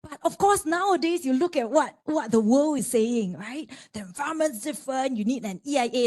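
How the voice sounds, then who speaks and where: A woman speaking on a panel to a live audience, with a loud voice and a chatty manner, often checking in with "right?". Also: on the high side. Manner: chatty, keeps asking "right?". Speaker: panelist, disputes lawyer.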